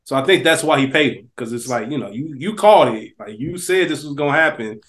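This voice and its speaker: A man co-hosting a podcast, reasoning out loud in a quick, animated way about a TV show. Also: medium pitch. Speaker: podcast co-host. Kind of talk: casual TV recap chat.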